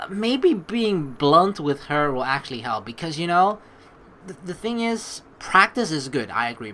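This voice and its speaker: A let's play host giving rambling, excitable commentary on a story game and reading its text aloud. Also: mid-range voice, slight background noise. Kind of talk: game playthrough commentary with dramatic read-aloud. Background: game music.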